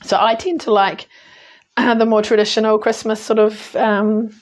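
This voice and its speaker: A woman with a New Zealand accent, hoarse today, chatting through a card-making tutorial with frequent ums and small self-corrections. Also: a little high, flat delivery, normal speed. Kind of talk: casual crafting tutorial.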